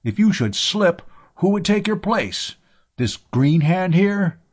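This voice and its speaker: A man's voice: mid-range voice, animated, moderate pace, breathy edge. Ship's captain, acted by a male reader: indignant, exasperated authority, commanding fantasy audiobook character. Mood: indignant protest.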